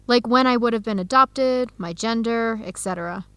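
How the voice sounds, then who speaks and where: A young woman playing an earnest researcher searching for her birth family in an educational radio drama, speaking clearly and conversationally. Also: fairly high pitch, moderate pace, a bit bright, dry recording, close to an everyday voice. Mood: excited, matter-of-fact. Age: young adult.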